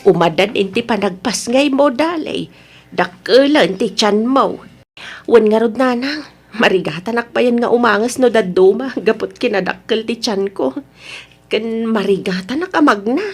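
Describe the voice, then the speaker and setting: A woman with an Ilocano accent playing an anxious, tender mother and grandmother in a radio drama, with expressive, lamenting delivery. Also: a little high, moderate pace, breathy edge, faint music behind. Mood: reassuring.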